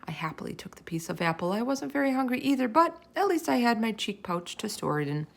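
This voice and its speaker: A woman reading a children's chapter book aloud in expressive narration. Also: fairly high pitch, fast talker, creaky. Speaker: storybook reader.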